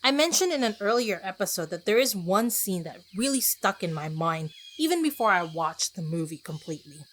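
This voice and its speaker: A woman's voice: mid-range voice, big pitch swings, a little fast, a bit bright, a little hiss or hum. A woman podcast host narrating a casual scripted solo episode in a chatty, self-deprecating way with conversational asides.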